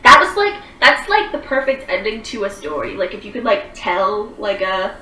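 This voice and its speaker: A woman's voice: medium pitch, big pitch swings, normal speed, sharp tone, roomy sound, distant mic, a little hiss or hum, rough recording. A woman in her twenties chatting casually on a livestream, rambling with lots of fillers as she answers viewer comments. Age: 20s.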